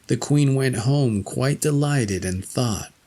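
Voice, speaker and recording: A man narrating a fairy tale from a script, with a gravelly, husky voice. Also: mid-range voice, takes it slowly, mellow. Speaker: storyteller, narrator.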